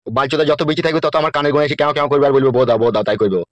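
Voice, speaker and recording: A man playing a scheming, lecherous politician in a comedy cartoon, crude and smooth-talking, with a West Bengal Bengali accent. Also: mid-range voice, steady tone, very fast, no room sound, lo-fi sound, close to an everyday voice. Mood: bossy, crude.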